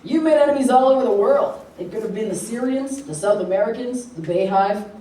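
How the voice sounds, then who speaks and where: A woman playing a brisk, blunt army general in a comedic stage radio play. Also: on the high side, animated, warm tone, close to an everyday voice. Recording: roomy distant hall, faint noise.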